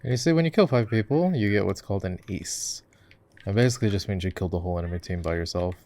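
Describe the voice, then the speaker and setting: A man acts out an easygoing gamer boyfriend with a fairly deep voice full of vocal fry. He chats casually and affectionately, teasing his partner as he coaches in a roleplay over gameplay. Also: fast talker, darkish tone, no room sound. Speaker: voice actor. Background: keyboard typing and mouse clicking.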